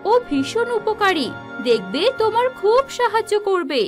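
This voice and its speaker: A woman's voice: very high voice, very animated, moderate pace, faint music behind. A woman voicing a stern, protective fairy in a dramatic fairy-tale cartoon, grave and commanding. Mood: earnest instructing.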